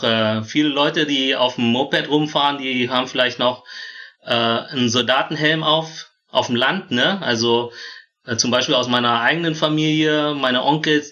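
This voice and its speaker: A man in his thirties with a bright, forward voice at a medium pitch, talking as an interview guest. He tells personal and family stories conversationally, often pausing to search for words. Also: highs cut off. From born in Vietnam, grew up in Germany. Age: 30s.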